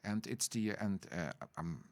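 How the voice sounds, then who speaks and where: A middle-aged man, co-hosting an informal podcast and talking in halting bursts full of restarts and tag questions. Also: on the low side, lively intonation. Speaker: podcast co-host. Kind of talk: informal unscripted conversation.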